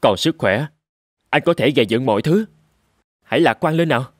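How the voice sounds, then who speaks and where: A man playing a calm army doctor, dry sound. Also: medium pitch, brisk, no room sound, close to an everyday voice. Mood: reassuring. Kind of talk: cartoon dubbing.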